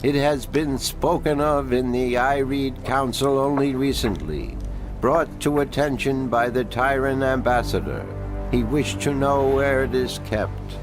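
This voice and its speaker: A man voicing an ancient, wise being of a magical people in a fantasy game, speaking in a formal, deliberate, solemn way. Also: medium pitch, music in the background, lightly acted. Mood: measured, guarded. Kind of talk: fantasy game character dialogue.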